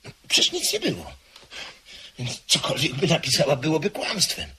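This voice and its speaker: A jittery gentleman in an old radio play who mutters his fears under his breath and stammers when he is addressed. His voice is fairly high for a man and leaps up and down. Hiss sits under the recording. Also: huge pitch swings, normal speed, full character voice. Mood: anxious. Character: nervous gentleman guest, jumpy and anxious. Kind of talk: radio drama acting. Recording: hissy old radio tape.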